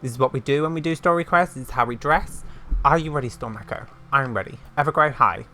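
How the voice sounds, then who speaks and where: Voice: mid-range voice, fast talker, pushed and bright, slight background noise. A host giving casual gameplay commentary, with scattered, playful asides. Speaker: gaming video host.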